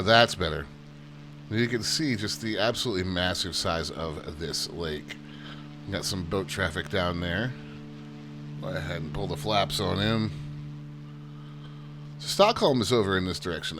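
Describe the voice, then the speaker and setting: Low-voiced man with steady hum. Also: moderate pace, pauses often. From United States. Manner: easygoing, self-deprecating, chatty tour-guide delivery. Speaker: flight sim channel host. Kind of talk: casual gameplay commentary.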